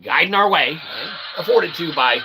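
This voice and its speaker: A man with a raspy, gravelly voice giving an improvised philosophical monologue in wordy, pseudo-formal phrasing, often checking in with short tag words. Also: fairly high pitch, expressive, normal speed, pushed and bright, rough recording. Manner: rambling, wordy pseudo-formal phrasing with frequent "okay" and "all right" check-ins. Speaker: independent online philosophy presenter.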